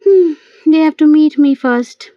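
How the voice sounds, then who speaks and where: A woman's voice: high voice, unhurried, no room sound, highs cut off, pitched above the performer's own voice. A woman reading the part of a playful, cajoling young man in an amateur audio play. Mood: wry.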